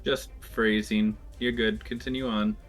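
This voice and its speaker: A man playing a tabletop game, chatting casually with wry, quick asides. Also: lowish voice, little pitch movement, faint music behind. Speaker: player. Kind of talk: casual chat at a gaming table.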